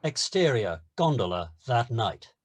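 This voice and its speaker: A man narrating the stage directions of a screenplay at a table read, steady and descriptive. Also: medium pitch, measured pace, dry recording.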